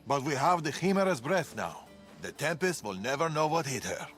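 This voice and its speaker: An actor playing a shrewd, guarded older warrior father in a video game, speaking tersely and gravely. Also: a little high, very animated, normal speed, fairly bright tone, soft background music. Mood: grave, determined. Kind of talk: cinematic game dialogue.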